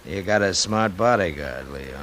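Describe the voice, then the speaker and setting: An actor playing a sardonic, menacing ex-convict gangster with deliberate, mocking tough talk in a 1940s radio drama. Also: male voice, low voice, animated, fairly bright tone. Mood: stubborn. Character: sardonic, menacing male crook fresh out of prison. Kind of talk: 1940s radio noir acting. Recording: slightly noisy vintage radio recording.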